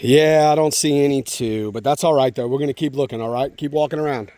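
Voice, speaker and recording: A man's voice: mid-range voice, quick pace, dark tone, no echo. A man talking casually and playfully to his young child outdoors, in simple, encouraging phrases with frequent check-ins. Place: outdoors at a park in the rain. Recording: noisy outdoor home-video audio.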